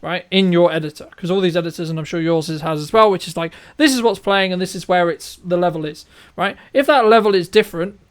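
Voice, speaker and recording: Male interview host, high voice, casual, rambling and repetitive delivery. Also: fast, few pauses. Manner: rambling, repetitive, checks in with "right?".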